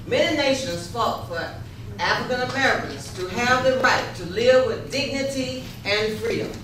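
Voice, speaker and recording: A woman's voice: on the high side, moderate pace. A woman reading a solemn proclamation from a script in a readers theater rehearsal. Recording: very echoey room, distant mic, clear noise.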